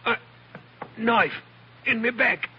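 An actor playing a gravely wounded man in a 1940s radio thriller, gasping and strained as his strength fails. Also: extremely high pitch, slow, full character voice. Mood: pained, desperate. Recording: muffled, noisy 1940s radio recording.

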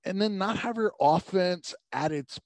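A man from Tampa, a sports radio host, giving animated sports analysis as a podcast guest, his pitch rising on emphasis. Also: unhurried, dry recording. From Tampa, Florida.

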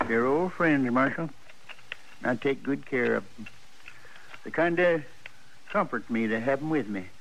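An older-sounding actor playing a wistful, proud old gunfighter in a 1950s radio western drama. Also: male voice, medium pitch, measured pace, vocal fry, pauses often, a bit bright. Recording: dull, slightly noisy old radio recording.

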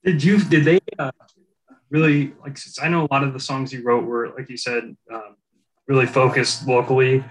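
A man's voice: mid-range voice, fairly bright tone, poor audio. A man interviewing a guest, chatting casually and asking curious follow-up questions.

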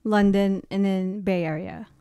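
A woman co-hosting a casual podcast, dropping in short questions between the others. Her voice is smooth and clear, at an average pitch, in a dry recording. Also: steady tone, unhurried, dark tone, dry recording. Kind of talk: casual interview chat.

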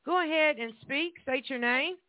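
A woman hosting a call-in radio show, who talks in a lively, slangy, enthusiastic way. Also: sits high, moderate pace, no echo. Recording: dry tinny phone line.

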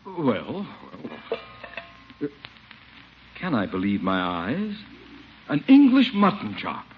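An actor playing a haughty, demanding middle-aged gentleman in a 1950s radio sitcom, pompous and sarcastic. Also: mid-range voice, huge pitch swings, takes it slowly. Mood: delighted, smug. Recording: muffled old radio recording, faint noise.

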